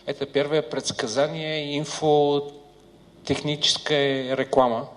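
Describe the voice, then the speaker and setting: A man's voice: a little high, flat delivery, measured pace. A man interpreting a seminar talk, hesitating and searching for words. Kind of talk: consecutive interpreting. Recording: hall reverb.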